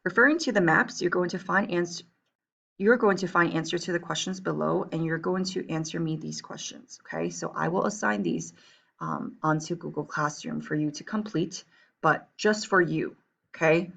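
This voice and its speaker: A woman's voice: on the low side, normal speed, gravelly, a bit bright. A woman from Taiwan teaching an online lesson, patient and reassuring, often checking in with "okay?" and "right?". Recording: dull lo-fi audio.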